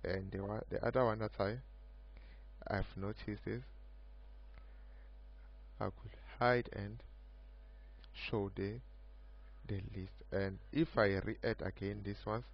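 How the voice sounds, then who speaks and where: A man giving an unscripted screen-recorded software demo of his own project, speaking haltingly in short broken phrases. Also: on the low side, fairly even intonation. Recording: muffled lo-fi mic with background hum.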